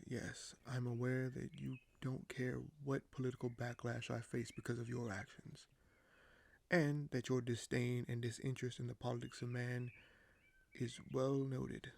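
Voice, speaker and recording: Man acting as weary paranoid king, creaky voice, middling pitch, measured formal phrasing, dry candor, hesitant pauses, audio roleplay. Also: darkish tone, close to an everyday voice. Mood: dry, diplomatic. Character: weary, paranoid young usurper king, dryly candid. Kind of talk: audio roleplay monologue to a silent listener.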